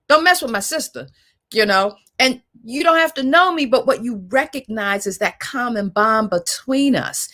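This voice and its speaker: A woman moderating a panel discussion, reflecting in a measured, articulate way. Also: medium pitch, a bit bright.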